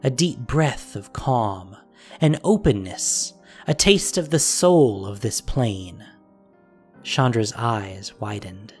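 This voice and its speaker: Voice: medium pitch, animated, takes it slowly, faint music behind. A narrator reading a scripted fantasy story in a dramatic audio drama style.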